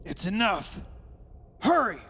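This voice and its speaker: A man's voice: high-pitched, very animated, slow pace, sharp tone, full character voice. An actor playing a desperate, anguished father in an old-time style radio horror drama. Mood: anguished, urgent. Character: desperate, anguished father trying to rescue his daughter. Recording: hissy hall recording with long reverb.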